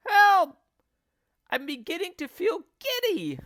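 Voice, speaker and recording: A man's voice: very high voice, huge pitch swings, slow, bright, forceful tone, dry recording, clean studio sound, voice pushed up, exaggerated voice. A man voicing a nasty, hysterical wife from a children's storybook, screechy and scolding. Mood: panicked.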